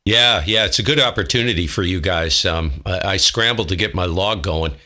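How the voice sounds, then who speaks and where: A man's voice: low-pitched, moderate pace, pushed and bright. An American man operating a ham radio, giving call signs and making casual side comments as host.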